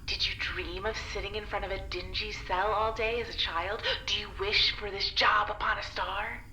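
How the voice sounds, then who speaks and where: A woman's voice: mid-range voice, steady tone, normal speed, pushed and bright, reverberant, faint music behind, poor audio. A woman playing a cheeky young female prisoner in a naturalistic audio drama, prying and teasing with dry, sardonic wit.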